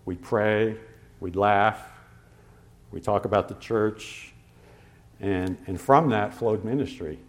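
A man preaching a sermon to his congregation in a conversational way, with pauses and fillers, slowing down to stress scripture references. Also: lowish voice. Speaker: pastor.

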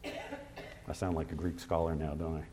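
A man preaching a sermon to his congregation in a conversational way, with pauses and fillers, slowing down to stress scripture references. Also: rumbling low, fairly even intonation, mellow, a little hiss or hum. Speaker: pastor.

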